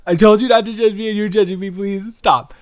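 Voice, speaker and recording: A man's voice: high-pitched, steady tone, fairly quick, natural voice. A man improvising an audio roleplay as a friendly, slightly awkward young stranger, talking casually and earnestly to the listener with stammered restarts. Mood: flustered, embarrassed.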